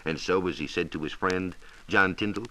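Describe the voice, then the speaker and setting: An American man presenting a scripted 1940s educational radio science talk with measured, emphatic storytelling. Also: low-pitched, pushed and bright, rough recording. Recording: hissy 1940s radio transcription recording.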